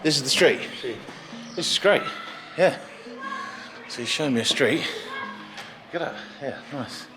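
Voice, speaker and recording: A middle-aged man with a British accent, chatting excitedly while walking through town streets outdoors and filming a house-hunting vlog. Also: medium pitch, unhurried, pauses often. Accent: British English. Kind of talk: casual travel vlog. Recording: music playing underneath.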